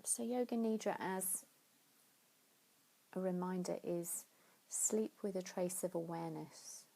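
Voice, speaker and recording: A woman leading a guided sleep meditation in a soothing, unhurried way, pausing between phrases. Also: lowish voice, vocal fry, dark tone, low-quality recording. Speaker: yoga nidra meditation teacher.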